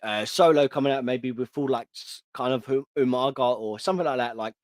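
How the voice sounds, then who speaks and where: A man's voice: medium pitch, a little fast, no room sound. Enthusiastic Englishman, dry close sound. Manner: enthusiastic, rambling, laid-back. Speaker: co-host of a wrestling livestream. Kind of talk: casual livestream chat.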